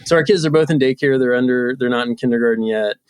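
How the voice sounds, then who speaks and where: A man's voice: mid-range voice, fast, smooth, no echo. A man in his thirties talking casually and reflectively as a guest in an interview. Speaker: podcast guest, entrepreneur.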